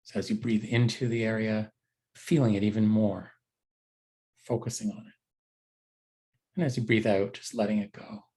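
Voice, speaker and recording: Guy with a lowish voice teaching body scan meditation, gentle and unhurried, lots of pauses. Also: flat delivery.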